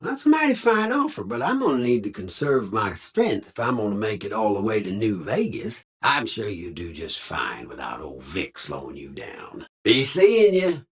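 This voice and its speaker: Folksy robot cowboy drifter for a video game: husky Southern drawl, wildly swooping pitch, friendly with a sly edge. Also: male voice, huge pitch swings, moderate pace, hardly any pauses, heavily acted. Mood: jovial, folksy. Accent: American Southern cowboy drawl. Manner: folksy slang, theatrical swooping delivery. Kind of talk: video game character dialogue.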